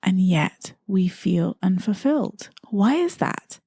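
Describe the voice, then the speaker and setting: A woman's voice: on the low side, mellow, no room sound, clean studio sound. A middle-aged woman from Barbados hosting a solo podcast, talking in an earnest, musing way and repeating phrases for emphasis. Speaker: podcast host. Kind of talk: reflective solo podcast talk.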